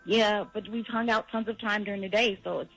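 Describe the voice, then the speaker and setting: A woman's voice: medium pitch, fairly even intonation, a little fast, a bit bright, rough recording. A woman calling in to a radio show and explaining her situation, sometimes repeating her words as she starts. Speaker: caller. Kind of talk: phone-in chat.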